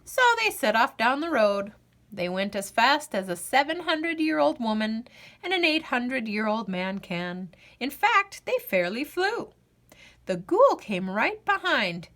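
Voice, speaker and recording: A woman reading a children's storybook aloud as a storyteller, in a deliberate, dramatic, expressive way. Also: fairly high pitch. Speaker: storyteller, host of a read-aloud show.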